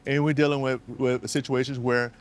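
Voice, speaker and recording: A man's voice: mid-range voice, flat delivery, a little fast, soft background music. A man working as an immigration attorney, answering interview questions in an earnest, explanatory way and repeating key phrases for emphasis, recorded at a law office.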